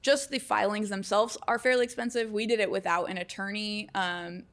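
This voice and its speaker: A woman's voice: mid-range voice, steady tone, brisk, fairly bright tone. A woman with a mid-range voice who co-hosts a casual podcast. She reflects aloud on personal experience and steers the conversation with follow-up questions. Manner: conversational, reflective, guides the discussion. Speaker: podcast co-host.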